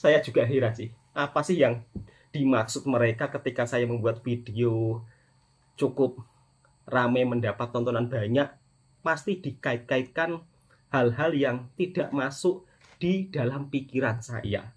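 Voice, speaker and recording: A man's voice: mid-range voice, a little slow, husky. A middle-aged man with an Indonesian accent talking casually to his viewers in a personal vlog, repeating words for emphasis and often addressing the audience directly. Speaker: vlogger, channel host, migrant worker.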